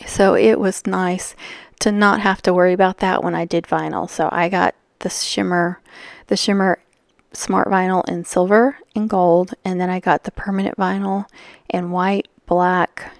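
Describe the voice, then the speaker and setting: A woman's voice: on the low side, no echo, studio quality. A woman with a scratchy voice talking casually through a craft tutorial, rambling and chatty with lots of fillers. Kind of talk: casual voice-over while crafting.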